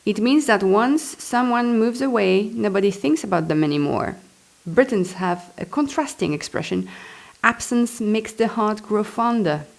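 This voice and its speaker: A woman's voice: mid-range voice, measured pace, vocal fry. Belgian French-accented woman reading her memoir. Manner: chatty, expressive, given to giggling asides. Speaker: author reading own book. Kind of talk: memoir read aloud with spontaneous asides.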